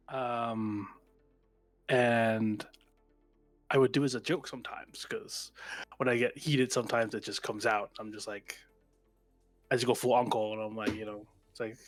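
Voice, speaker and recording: A man's voice: medium pitch, steady tone, measured pace, pauses often, no room sound, soft background music. A young man with a Vietnamese American accent, chatting casually on a panel call and laughing often as he tells stories about himself. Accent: Vietnamese American English. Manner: laughs often, self-deprecating storyteller. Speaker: VTuber panel guest.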